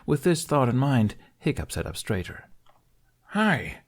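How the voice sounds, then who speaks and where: A man's voice: medium pitch, very animated, normal speed, darkish tone. A man reading a story aloud as scripted audiobook narration.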